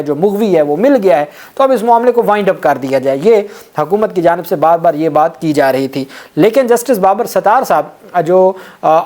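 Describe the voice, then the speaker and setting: A man's voice: high-pitched, a little mellow. A man with a Pakistani accent, speaking as a legal commentator and explaining court news.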